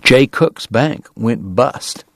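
A man's voice: mid-range voice, animated, drawn-out words, gravelly, no room sound, full character voice. A man voicing a brusque, hearty established dentist in a historical novel, matter-of-fact in his talk. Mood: newsy.

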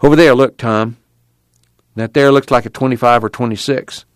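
A man voicing an eager, excitable young mechanic with folksy rural speech in an audiobook. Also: mid-range voice, moderate pace, clean tone, no echo, close to an everyday voice. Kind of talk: acted audiobook dialogue.

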